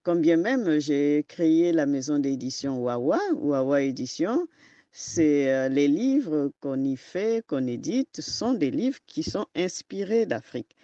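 A woman whose first language is not French speaks slowly and haltingly, often restarting as she searches for words. Her voice is dry and close, with a somewhat dull, lo-fi sound. She is an author and storyteller giving an interview. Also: low voice, expressive, mellow, dry recording. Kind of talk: interview guest answering questions.

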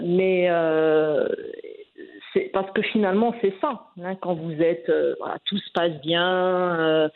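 A woman in her forties giving an interview, talking in a hesitant way with many fillers and restarts. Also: lowish voice. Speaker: guest. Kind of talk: conversational interview. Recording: phone-like narrow band.

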